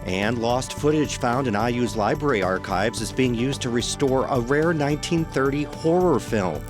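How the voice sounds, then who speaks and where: A man's voice: medium pitch, normal speed. Male news anchor over music. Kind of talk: scripted news narration.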